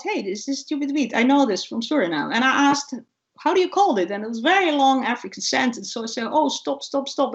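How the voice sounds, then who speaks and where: Female guest scientist, higher voice, animated storyteller in conversation, slightly dull video-call audio. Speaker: guest ethnobotanist. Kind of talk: informal research interview, storytelling.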